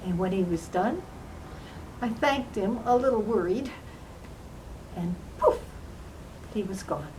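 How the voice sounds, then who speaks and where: A woman playing a young fairy-tale queen and mother, telling her own story in an earnest, dramatic, emotional way with drawn-out emphasis. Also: mid-range voice, animated, warm tone, rough recording. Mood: fond recounting. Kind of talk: dramatized first-person storytelling in character. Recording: faint background noise.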